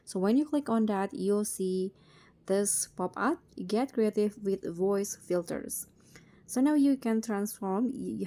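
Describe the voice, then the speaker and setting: Female tutorial host, unhurried delivery, soft-edged mellow tone, step-by-step narration. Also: medium pitch.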